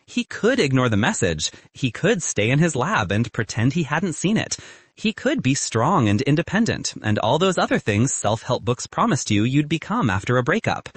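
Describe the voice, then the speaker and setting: A man's voice: medium pitch, very animated, normal speed, husky, no echo. A man narrating scripted fiction as an audiobook reader.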